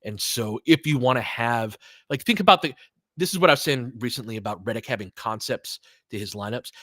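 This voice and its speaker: A man's voice: mid-range voice, brisk, fairly bright tone, no room sound. A man giving casual, conversational basketball play-by-play and analysis, with short punchy calls and slangy language.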